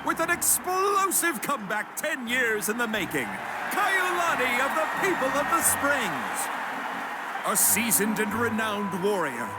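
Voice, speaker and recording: High-voiced, showy tournament announcer. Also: male voice, exaggerated voice, low-quality recording. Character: showy, booming tournament announcer hailing warrior champions. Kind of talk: theatrical event announcing. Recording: loud music behind the voice.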